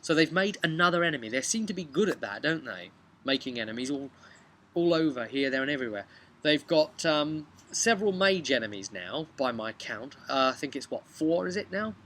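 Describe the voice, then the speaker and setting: A young man with a British accent casually recounts a tabletop game session as game master, conversational, with emphatic pauses and tag questions. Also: fairly high pitch, animated, a bit bright. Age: young adult. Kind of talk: casual vlog storytelling. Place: outdoors on a bench beside a brick house. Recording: noisy outdoor recording with traffic ambience.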